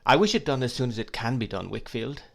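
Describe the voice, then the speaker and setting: A man's voice: mid-range voice, lively intonation, fast talker, gravelly. A man voicing a kindly, absent-minded elderly schoolmaster who talks in a hesitant, scholarly way. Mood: mild, earnest.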